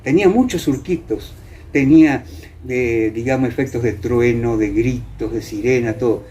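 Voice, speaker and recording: A mature Argentine man talks in an interview as a former actor, explaining things haltingly. His mid-pitched voice is mellow and dark, with faint ambient noise around it. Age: middle-aged or older. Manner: hesitant, explanatory, seeks agreement with tag questions. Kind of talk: reminiscing interview talk.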